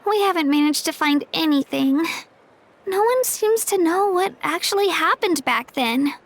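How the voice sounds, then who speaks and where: A woman's voice: extremely high pitch, expressive, normal speed, soft background music. A woman voicing a cheerful, earnest young girl in a video game, bubbly and eager. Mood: upbeat.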